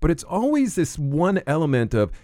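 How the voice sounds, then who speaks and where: A man's voice: on the high side, very animated, moderate pace, dark tone, dry recording, studio quality. A man co-hosting a podcast, asking long, rambling questions full of fillers and restarts. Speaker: podcast co-host. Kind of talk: conversational podcast interview. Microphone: studio microphone.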